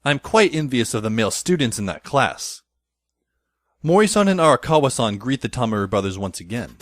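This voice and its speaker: Man as a wry, animated schoolboy narrator. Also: medium pitch, a little fast, no echo, clean studio sound. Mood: wry, musing. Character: wry high school boy narrating his story. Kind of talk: first-person scripted narration, audio drama.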